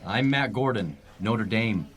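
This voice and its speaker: A man's voice: lowish voice, unhurried, fairly bright tone. A man acting a smug, snobbish lawyer colleague in a romantic comedy radio play.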